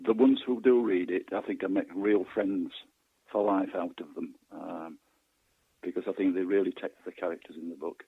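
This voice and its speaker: A man's voice: deep voice, fairly even intonation, normal speed, creaky, husky, dry recording. A man in his late sixties from Yorkshire, chatting as an interview guest in a modest, hesitant way over a phone-like line. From Yorkshire, England. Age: late 60s. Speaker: guest author. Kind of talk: casual interview chat. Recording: narrow tinny phone-like line.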